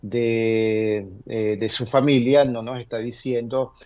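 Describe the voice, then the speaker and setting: Halting man advising, slightly dull sound. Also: medium pitch, little pitch movement, darkish tone. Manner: hesitant, halting explanations, tags statements with "verdad". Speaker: immigration lawyer answering viewer questions. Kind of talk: conversational legal advice.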